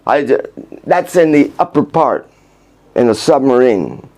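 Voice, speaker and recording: A man's voice: mid-range voice, lively intonation, darkish tone. Older man telling rambling stories. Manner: halting, with restarts, chuckling. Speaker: vlogger recounting his life. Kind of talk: rambling personal storytelling. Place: outdoors in Vrindavan.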